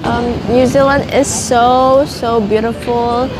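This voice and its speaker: Young woman, short casual interjections, slightly high voice, music clearly behind. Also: steady tone, slow, low-quality recording. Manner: chimes in with short words, mixes in English. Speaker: vlogger's daughter. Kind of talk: casual chat, brief interjections. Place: outdoors on a city street.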